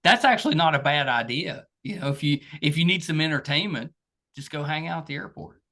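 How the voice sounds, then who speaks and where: Bright, lively-pitched man hosting a chat. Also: fairly quick. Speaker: podcast interviewer. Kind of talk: casual interview chat.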